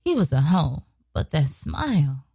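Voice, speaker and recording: A woman's voice: low voice, sing-song, unhurried, warm tone, slightly rough, no room sound, clean studio sound, full character voice. A woman playing a sly, brash young woman killer in an audio drama, telling her story in a sassy, profane, colloquial way. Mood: confiding, sassy. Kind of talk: scripted first-person narration, audio drama.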